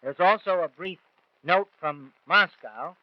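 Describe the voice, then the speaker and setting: A man's voice: high-pitched, measured pace, no room sound. An American man reading news items as a 1940s radio reporter. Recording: dull vintage 1940s radio broadcast.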